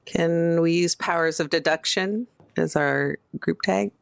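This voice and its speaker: Low-voiced woman player, dry close sound. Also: a little slow, vocal fry, smooth, dry recording. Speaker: tabletop game player. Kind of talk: casual game chat.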